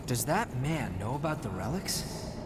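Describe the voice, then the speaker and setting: A man playing a wary, level-headed fighter in a video game, measured and serious. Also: mid-range voice, big pitch swings, heavy background noise.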